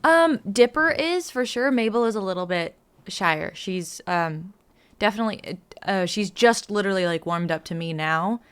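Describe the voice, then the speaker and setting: A woman's voice: medium pitch, animated, normal speed, clean tone. A young adult woman chatting casually in a group as one of the readers on a stream.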